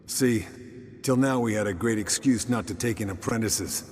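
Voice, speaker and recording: Rugged monster hunter in echoing hall. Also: male voice, fairly low pitch, moderate pace, slightly rough. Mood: easygoing. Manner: colloquial, clipped phrases. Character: easygoing, rugged male monster hunter, a loyal comrade. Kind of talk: fantasy game dialogue.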